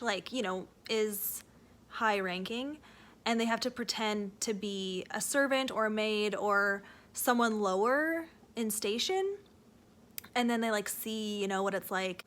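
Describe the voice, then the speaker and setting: A young woman with a Canadian accent talks casually from her rocking chair at home. Her voice is bright and sits a little high, and she rambles along with lots of fillers and restarts in a relaxed vlog chat. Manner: chatty, rambling with fillers and self-corrections. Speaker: book vlogger.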